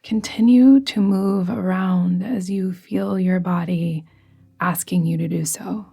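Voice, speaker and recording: A woman guiding a yoga nidra meditation in a soft, soothing, gently instructing manner, with breathy phrase endings. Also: fairly low pitch, steady tone, slow. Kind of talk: guided meditation. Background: music.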